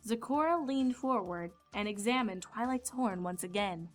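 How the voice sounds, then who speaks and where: A woman's voice: medium pitch, lively intonation, normal speed. A woman reading scripted storybook narration as the narrator. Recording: music behind the voice.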